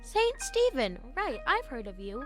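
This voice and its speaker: A woman's voice: high voice, wildly swooping pitch, a little slow, over music, heavily acted. An actress playing an earnest, curious young girl in a children's audio drama, eager and full of exclamations. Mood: curious, amazed.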